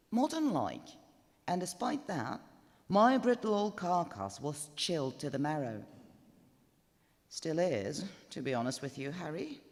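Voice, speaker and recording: An elderly widow played by a man rambles on in a ghost story read live. She is gossipy and grumbling, her pitch jumping high, and her colloquial chatter rings around a reverberant chapel. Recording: live reading in echoing chapel.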